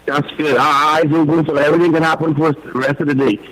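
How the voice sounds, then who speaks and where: Very high-pitched aggrieved Bahamian man calling in. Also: little pitch movement, fast talker, a crowd in the background, low-quality recording. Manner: aggrieved, emphatic, repetitive. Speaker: caller to a radio phone-in. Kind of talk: informal spoken complaint.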